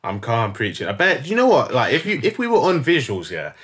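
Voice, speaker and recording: A man's voice: mid-range voice, wildly swooping pitch, fairly quick, a bit bright. A young man in a casual podcast chat, explaining his views at length and swearing casually. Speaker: podcast co-host.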